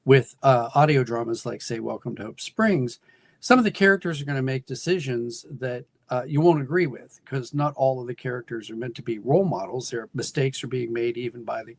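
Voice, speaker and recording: A middle-aged American man giving thoughtful, explanatory answers as a guest in an interview, pausing and restarting as he goes. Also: medium pitch, dry recording. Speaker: guest, audio drama writer and producer.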